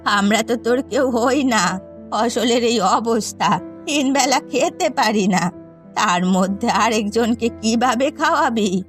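A grumbling old village matriarch played by a woman in a cartoon drama, high in pitch and full of reproach. She scolds like a wronged mother over a bed of music. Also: takes it slowly. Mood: scolding. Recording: music behind the voice.

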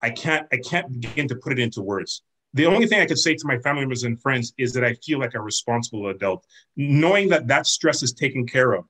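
A man's voice: medium pitch, lively intonation, quick pace, sharp tone, slightly rough, low-quality recording. A man on a video call earnestly sharing a personal experience. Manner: earnest, candid personal testimony. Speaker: community member sharing experience.

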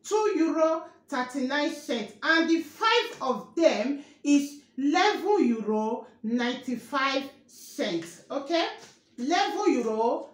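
A woman in her thirties speaks slowly in a high voice, going through her groceries item by item. She repeats each price and keeps asking viewers whether they can see what she holds up. The kitchen sounds roomy and echoey, with a faint hiss underneath. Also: lively intonation. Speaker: vlogger. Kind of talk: grocery haul show-and-tell. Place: kitchen, indoors. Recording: echoey room with faint noise.

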